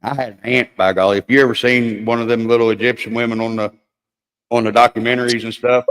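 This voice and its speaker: A man's voice: medium pitch, steady tone, fast talker, fairly bright tone. Crude joking man in a reverberant room. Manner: crude, joking, rambling storyteller. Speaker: livestream host. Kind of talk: casual chat. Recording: long hall reverb.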